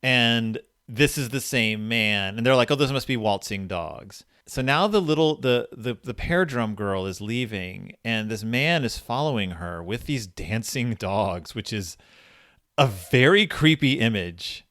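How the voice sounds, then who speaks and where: A man's voice: medium pitch, animated, unhurried, a bit bright, dry recording, clean studio sound. A man hosting a podcast, casually and enthusiastically retelling and discussing a story, sometimes reading passages aloud. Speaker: podcast host.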